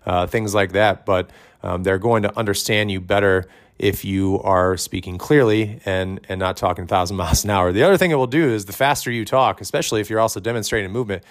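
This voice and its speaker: Loud-voiced man coaching gym trainers. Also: fairly low pitch, steady tone, a little fast. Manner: conversational, many fillers, says his voice is loud. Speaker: fitness coach. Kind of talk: instructional podcast talk.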